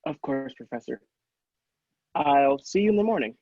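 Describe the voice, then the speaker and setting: A man playing an earnest, easily amazed doctor in an old-style radio play, speaking with theatrical, halting wonder. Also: mid-range voice, animated, no room sound. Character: astonished, earnest male doctor visiting inventor. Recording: dull lo-fi, dry sound.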